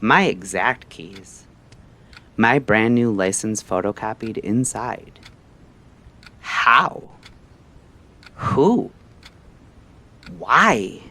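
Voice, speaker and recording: Slow, low-voiced male radio storyteller over music. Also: expressive, sharp tone. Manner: measured, theatrical, short clipped phrases with pauses. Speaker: radio show host and storyteller. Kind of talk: dramatic scripted first-person narration, late-night radio storytelling.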